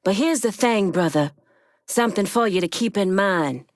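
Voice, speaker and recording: A woman's voice: medium pitch, expressive, moderate pace, no room sound, studio quality, close to an everyday voice. An actress playing a proud, shrewd woman crime boss in a video game, speaking firmly and bluntly. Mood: measured, pointed.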